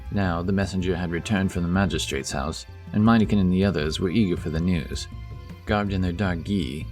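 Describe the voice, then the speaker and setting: A man's voice: low voice, a little mellow. A man narrating a scripted fantasy audio story. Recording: music under the voice. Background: background music.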